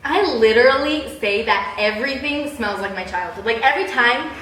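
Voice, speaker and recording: A young woman chatting casually in a personal vlog, with a bubbly, animated, giggly way of exaggerating things. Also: a little high, moderate pace. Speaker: vlogger. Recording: roomy echo, distant mic, background hiss.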